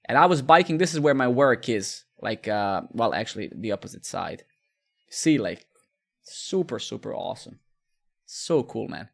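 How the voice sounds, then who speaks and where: A man's voice: medium pitch, big pitch swings, normal speed, gravelly. A man, chatting casually with viewers during a live coding stream, rambling and repeating words. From Serbia.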